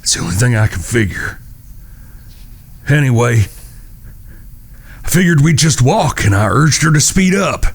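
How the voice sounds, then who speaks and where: Man acting a husky, grief-worn cowboy. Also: mid-range voice, big pitch swings, heavily acted. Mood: numb grief. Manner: halting, folksy, sorrowful. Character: grief-stricken wounded Old West cowboy, devoted, broken. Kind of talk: audio drama acting.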